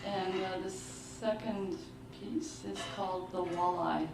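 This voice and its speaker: A woman giving a measured, deliberate literary reading of short fiction at a live book launch. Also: on the low side, fairly even intonation. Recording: roomy, distant mic, clearly noisy live venue.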